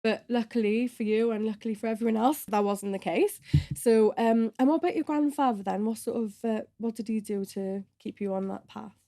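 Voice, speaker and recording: A woman hosting a podcast, introducing her guest and asking gentle prompting questions. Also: a little high, brisk, no echo, studio quality. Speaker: podcast host. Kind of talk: interview questions.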